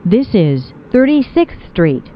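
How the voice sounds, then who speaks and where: A woman reading scripted automated subway announcements in a measured, clearly enunciated way, with phrases pieced together. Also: medium pitch, big pitch swings. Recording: dull lo-fi recording.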